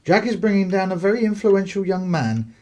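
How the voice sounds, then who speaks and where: Actor as a pompous English clergyman father, expressive. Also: sits high, a little fast. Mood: pleased. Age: middle-aged. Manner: formal, disapproving. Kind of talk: radio play acting.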